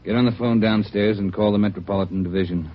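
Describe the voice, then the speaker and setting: An actor playing a brisk police chief who gives orders to his detectives in a 1950s radio drama. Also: fairly low pitch, steady tone, a little hiss or hum, natural voice. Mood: commanding. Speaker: police captain in charge. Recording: muffled vintage radio broadcast.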